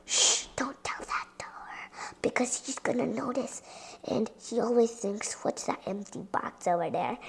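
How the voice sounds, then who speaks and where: A female voice giving a chatty tour of a toy dollhouse playset, addressing viewers directly and sometimes dropping into soft whispers. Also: fairly high pitch. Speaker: toy reviewer.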